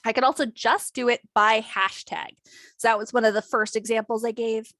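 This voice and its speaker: A woman trainer talking through a software tutorial in a casual, conversational way, her voice a little worn today. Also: fairly high pitch, steady tone, moderate pace, no echo, clean studio sound. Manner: conversational, lots of "maybe" and "you know". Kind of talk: screen-share software tutorial.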